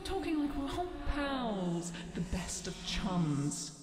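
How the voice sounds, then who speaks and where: A woman's voice: mid-range voice, very animated, slow pace. An actress playing a menacing, scornful female demon in a fantasy audio drama, sardonic and often slipping into a hiss. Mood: sarcastic fury. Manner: sardonic, contemptuous, breaking into hissed whispers. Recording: echoey cavern reverb, background noise.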